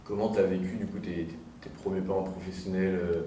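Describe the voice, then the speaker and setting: A man's voice: sits low, level tone, fairly quick, warm tone. A man asking a rugby player short, plain interview questions. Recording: distant, roomy, music behind.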